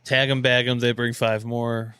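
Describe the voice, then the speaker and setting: A man's voice: mid-range voice, flat delivery, moderate pace, pushed and bright, clear, resonant tone, no echo, clean studio sound. A man co-hosting a podcast with casual banter, agreeable and slangy in short, informal remarks. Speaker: podcast co-host.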